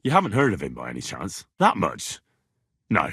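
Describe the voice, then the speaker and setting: Young medieval commoner, slightly rough husky voice, animated pitch, earnest, cheeky, colloquial, video game dialogue. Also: male voice, normal speed, no room sound, clean studio sound, heavily acted. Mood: probing, firm. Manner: colloquial, questioning, bantering.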